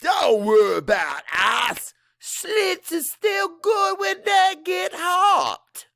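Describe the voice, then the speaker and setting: A man voicing a gruff, proud old Cajun father-in-law in an audiobook, loud, terse and folksy. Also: very high voice, big pitch swings, extremely slow, no room sound, studio quality, voice pushed up, heavily acted. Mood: dismissive.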